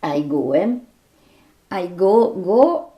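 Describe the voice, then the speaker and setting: A woman who is not a native English speaker, presenting movie commentary off the cuff as a film fan, halting and repeating her phrases. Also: lowish voice, big pitch swings, dark tone. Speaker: video host and film fan.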